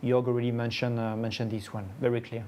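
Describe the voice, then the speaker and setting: A man speaking as a company executive on an earnings call, giving measured, halting, matter-of-fact answers to investor questions. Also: medium pitch, level tone, warm tone, slight background noise.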